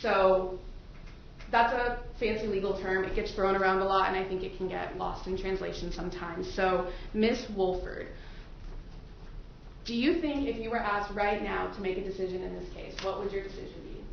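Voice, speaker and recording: A woman's voice: medium pitch, moderate pace, vocal fry. A woman working as a defense attorney, questioning prospective jurors in a courtroom in an informal, conversational, explanatory way. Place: courtroom, into a microphone. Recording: echoey courtroom audio, muffled, noisy.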